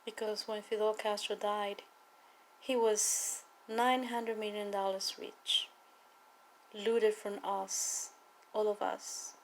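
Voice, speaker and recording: A woman from Cuba with a Cuban accent, a native Spanish speaker, giving an earnest, emphatic personal testimony and political appeal with deliberate repetition. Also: medium pitch, little pitch movement, slight background noise, poor audio. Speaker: political activist speaking to viewers.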